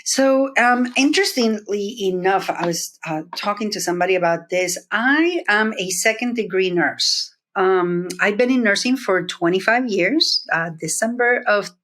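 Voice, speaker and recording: A woman's voice: medium pitch, normal speed, few pauses, a bit bright. A middle-aged woman, a nurse leader from Puerto Rico who grew up in New Jersey, speaking reflectively as an interview guest with many fillers and restarts. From Puerto Rico, raised in New Jersey. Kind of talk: interview conversation.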